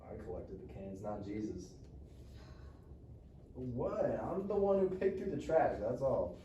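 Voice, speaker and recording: A man's voice: medium pitch, sing-song, unhurried, dull audio. A man playing a sullen, defiant Mexican-American teenage boy, grumbling and slangy, at a live script reading. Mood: defensive. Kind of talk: live script table read. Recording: roomy distant mic, faint noise.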